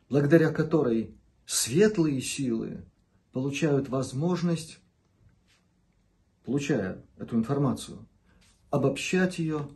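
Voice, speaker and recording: A man hosting a channel, speaking in an unscripted monologue to viewers. He is measured and deliberate, pausing between short phrases and stretching words for emphasis. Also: medium pitch, dark tone. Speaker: channel host.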